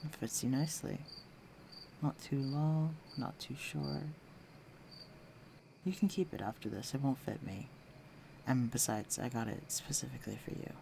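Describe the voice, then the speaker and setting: A man playing a confident, flirtatious fantasy villain with a protective streak, speaking intimately to the listener in an unhurried, teasing way in an audio drama. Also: mid-range voice, gravelly. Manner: teasing, self-assured, unhurried, dropping into hushed near-whispers. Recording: faint noise, night crickets and insects. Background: night ambience with crickets.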